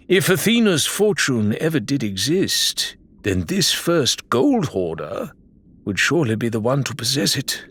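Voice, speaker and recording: A man voicing a learned, cautious male pirate who explains things formally and gravely in an audiobook. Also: medium pitch, fairly bright tone, pitched above the performer's own voice, heavily acted. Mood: uneasy, grave.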